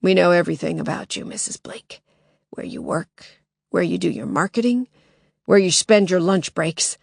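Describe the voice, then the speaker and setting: A woman's voice: animated, moderate pace, gravelly, slightly rough, no echo, clean studio sound, full character voice. Woman doing a harsh-voiced masked male intruder. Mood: menacing. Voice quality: thick and harsh. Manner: low menacing whisper.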